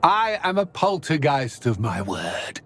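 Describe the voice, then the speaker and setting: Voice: medium pitch, sing-song, unhurried, slight background noise. An actor voicing a mischievous, theatrical poltergeist in a video game, with a pompous, drawling delivery. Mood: smug, playful. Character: mischievous, theatrical poltergeist fond of causing chaos. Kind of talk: video game character acting.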